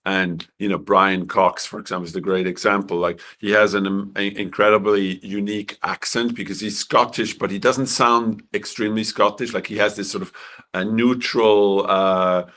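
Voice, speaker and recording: A man from Switzerland, a film director answering interview questions in a halting way with many restarts, clearing his throat as his voice goes. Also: lowish voice, fairly even intonation, fairly bright tone.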